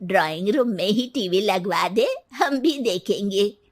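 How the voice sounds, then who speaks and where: Woman as slow, exclamatory middle-aged mother-in-law. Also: mid-range voice, lively intonation. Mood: questioning, indulgent. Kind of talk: animated moral-story dialogue.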